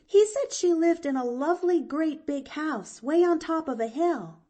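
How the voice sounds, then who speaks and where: Brief: high, lively little girl, chatty and eager, storybook read-aloud, voiced by a woman. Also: moderate pace. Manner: breathless, gushing, chattery. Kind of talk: audiobook character voice.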